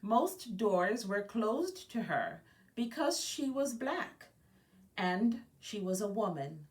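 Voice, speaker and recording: A woman reading a children's picture book aloud, phrasing deliberately and stressing key words. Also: mid-range voice, very animated, far from the mic. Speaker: guest storybook reader.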